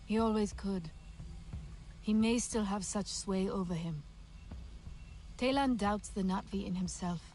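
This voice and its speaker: A woman playing a grave, wary alien clan ally in a video game, solemn and measured. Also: medium pitch, a little mellow, slight background noise, natural voice. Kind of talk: video game cutscene acting.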